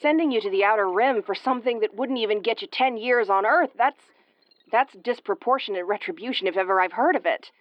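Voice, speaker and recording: A woman's voice: a little high, fairly quick, dry recording, lo-fi sound, lightly acted. A woman playing a tough, prickly but secretly caring tsundere starship commander in an intimate audio roleplay, brusque and prone to stammering when flustered. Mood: shocked, worried.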